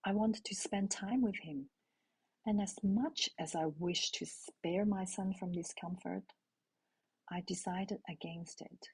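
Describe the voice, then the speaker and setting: A middle-aged woman from Hong Kong, a native Cantonese speaker, telling a personal parenting story in a calm, intimate way and asking her listeners questions. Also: medium pitch, a little slow, husky, rough recording. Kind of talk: reflective spoken essay.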